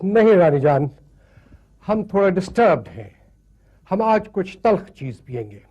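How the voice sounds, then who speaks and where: An actor playing a pompous, easily offended colonial gentleman in a screen drama, haughty and declamatory. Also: high voice, big pitch swings, a little slow, highs cut off, exaggerated voice. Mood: haughty, peeved. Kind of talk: theatrical screen drama acting.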